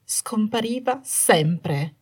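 A woman's voice: mid-range voice, lively intonation, drawn-out words, breathy edge, pitched above the performer's own voice, full character voice. A woman narrator voicing a bitter young wife in an audiobook story, menacing and manic with jealousy, snarling and trembling with cold fury. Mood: bitter, suspicious. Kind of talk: dramatised audiobook dialogue.

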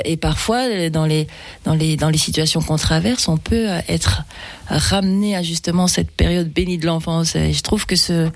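A woman's voice: lowish voice, no room sound. A woman singer, a native Fula speaker, talking in a casual interview and often restarting her words. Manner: hesitant, many restarts and repeated words. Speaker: singer guest.

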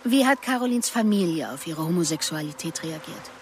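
A woman's voice: sits low, animated, a little fast. Probing, wary female detective, noisy ambience. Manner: probing questions, murmured inner monologue. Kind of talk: radio crime drama dialogue and narration.

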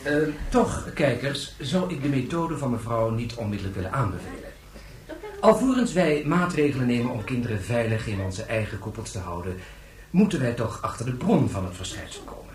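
A man's voice: mid-range voice, big pitch swings, fairly quick, close to an everyday voice. An actor playing a polite, earnest male television reporter in a radio drama, formal and slightly hesitant. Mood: polite, official. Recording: distant roomy broadcast with crowd.

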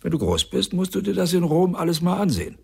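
Radio play: a gentle scholar, very husky and creaky, with a lively melodic line. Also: male voice, sits high, quick pace, exaggerated voice. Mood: gently instructive. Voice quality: gravelly, husky. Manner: gentle, explanatory. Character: kindly, learned art historian explaining to a small child. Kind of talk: radio drama acting.

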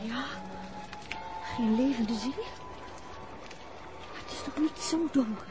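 A woman's voice: a little high, highs cut off, over music. A woman acting a detective's uneasy, observant wife in a 1960s Dutch radio drama, speaking hesitantly. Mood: hushed, uneasy. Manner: slow, hesitant, repeating words. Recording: noisy old 1960s radio recording.

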